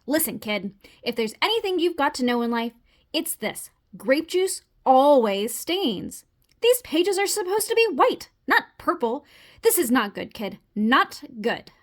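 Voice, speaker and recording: Woman acting out neat-freak boy frog, high voice, very animated, theatrical, indignant, easily panicked, children's storybook. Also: normal speed. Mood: indignant, scolding. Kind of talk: children's storybook read-aloud.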